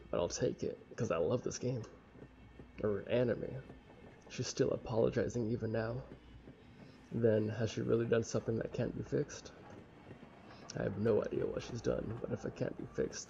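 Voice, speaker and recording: A young man with a casual, halting, sweary manner reading a visual novel aloud and commenting as he plays. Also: medium pitch, vocal fry, mellow. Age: young adult. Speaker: let's play streamer. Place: small room at home. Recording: dull lo-fi mic with faint noise.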